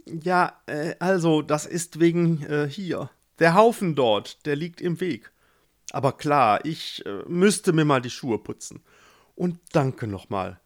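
A man's voice: a little high, measured pace, vocal fry, voice pushed up. A man voicing a young, unlucky prince who whines and pities himself. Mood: embarrassed. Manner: complaining, excitable. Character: young unlucky prince, whiny and self-pitying.

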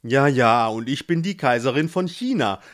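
A man voicing an angry older woman with a carpet beater who scolds loudly. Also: expressive, moderate pace, natural voice. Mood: scornful. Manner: scolding, shrill.

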